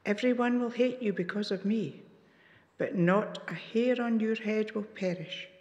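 A woman reading a Bible passage aloud in church, careful and clearly phrased. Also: mid-range voice, unhurried, darkish tone, highs cut off. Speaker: scripture reader. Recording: long church reverb, distant mic.

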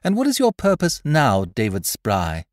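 A man's voice: sits high, big pitch swings, unhurried, darkish tone, dry recording, studio quality, voice pushed up. A man voicing a cool, blunt, commanding young woman in a seafaring storybook. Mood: demanding. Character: commanding, level-headed young woman aboard a mutinous ship. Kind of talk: audiobook character voice, period seafaring speech.

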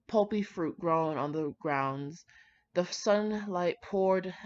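A woman reading aloud casually with chatty asides, irreverent, slangy and sweary in her manner. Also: deep voice. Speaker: host reading fan fiction aloud. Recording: dull, lo-fi recording.

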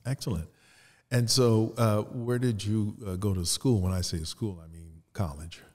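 A man's voice: on the low side, fairly even intonation, normal speed, darkish tone. An older man hosting a conversational interview as a podcast host. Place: indoor art gallery. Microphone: close podcast mic on boom arm, headphones. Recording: reverberant gallery room.

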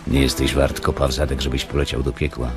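An actor in a radio play voicing a cruel, scolding imaginary giant rabbit with a taunting, scornful manner. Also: male voice, rumbling low, little pitch movement, fast talker. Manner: taunting, foul-mouthed, scornful. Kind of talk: radio drama acting. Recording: music behind.

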